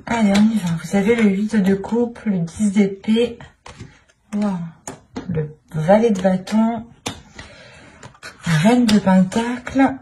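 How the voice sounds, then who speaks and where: A woman's voice: medium pitch, slow pace, pauses often, warm tone, a little hiss or hum. A woman giving an improvised tarot reading as a channel host, speaking in a searching way and often repeating her phrases.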